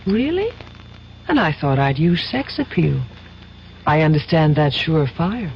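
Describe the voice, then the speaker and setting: Coaxing young American woman, very animated. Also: sits low, a little slow, heavily acted. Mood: teasing. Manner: playful, cajoling, pointed. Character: coaxing, teasing, shrewd young society woman, lawyer's fiancée. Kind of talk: 1970s American radio drama acting. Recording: dull, noisy old radio broadcast.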